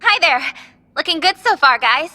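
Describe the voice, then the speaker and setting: Friendly young scout ally: high, crisp-edged bright voice, casual, reverberant game audio. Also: female voice, lively intonation, moderate pace, a little hiss or hum, full character voice. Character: friendly young woman lookout ally, watchful. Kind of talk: anime-style video game voice acting.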